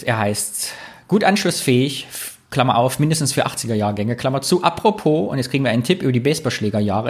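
A man's voice: medium pitch, slightly rough. A man co-hosting a casual podcast, reading listener comments aloud and telling anecdotes in a chatty way. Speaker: podcast co-host.

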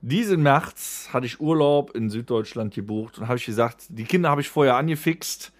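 A man's voice: medium pitch, normal speed, no echo. A man, chatting casually as a podcast host in a coarse, grumbling way. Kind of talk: casual comic chat and ranting.

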